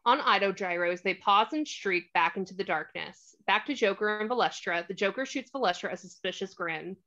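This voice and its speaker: A woman's voice: medium pitch, fairly quick, sharp tone. A woman reading scripted stage directions as narrator of a screenplay table read, on a slightly dull microphone.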